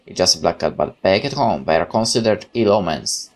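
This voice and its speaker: Animated man narrating a game playthrough. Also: deep voice, brisk. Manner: expressive, chatty. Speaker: gaming YouTuber. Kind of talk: let's play, reading game text aloud with casual commentary. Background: guitar music.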